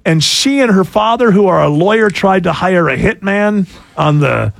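A man's voice: high voice, expressive, normal speed, creaky, no echo. A man in his sixties hosting a casual talk show, joking sarcastically and laughing often. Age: 60s. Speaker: radio show host. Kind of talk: casual chat.

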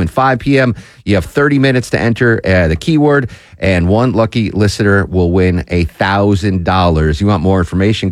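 A man's voice: fairly low pitch, no room sound. A man with a Connecticut accent hosting a radio talk show in a studio, rambling and chatty with lots of fillers. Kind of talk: casual on-air chat. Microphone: studio microphone.

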